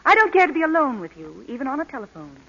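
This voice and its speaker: A poised, witty society lady of a vintage radio play. Her bright voice swoops with arch, playful politeness. The sound is the dull one of an old broadcast. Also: high voice, sing-song, rapid-fire, full character voice. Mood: cool, teasing. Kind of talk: 1940s radio acting.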